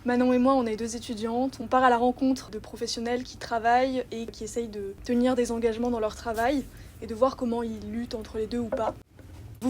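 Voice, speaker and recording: Young woman, student host, friendly, frequent fillers, fairly high voice, faint noise. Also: darkish tone. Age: young adult. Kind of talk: podcast intro and interview.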